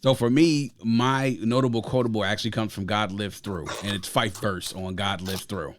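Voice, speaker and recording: A man's voice: lowish voice, steady tone, moderate pace. A man from Grenada hosting a casual podcast review of an album, talking enthusiastically and at length, often adding "you know what I'm saying". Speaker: podcast host. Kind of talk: casual music review chat.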